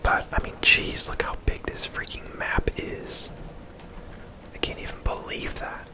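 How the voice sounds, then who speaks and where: A person whispering casual, laid-back ASMR commentary over a video game, in a breathy, unvoiced voice. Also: unhurried. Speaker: host, gamer.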